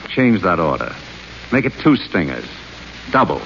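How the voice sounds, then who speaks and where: An actor playing a polished, ironic butler with dry wit, in a hissy 1930s radio broadcast. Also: male voice, deep voice, sing-song, moderate pace, vocal fry, muffled recording, full character voice. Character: suave witty male butler. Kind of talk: 1930s radio play acting.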